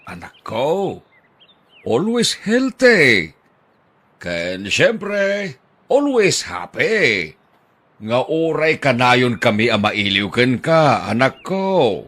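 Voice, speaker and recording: A man's voice: medium pitch, sing-song, very slow, fairly bright tone. A man acting in an Ilocano audio drama, talking in an affectionate, fatherly way.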